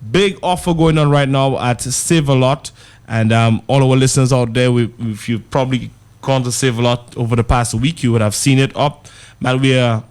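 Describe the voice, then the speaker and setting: Man, laid-back radio guest, chatty, mid-pitched voice. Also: moderate pace. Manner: relaxed, chatty, says "man" a lot, light laughs. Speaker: guest representing a supermarket. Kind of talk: casual radio chat.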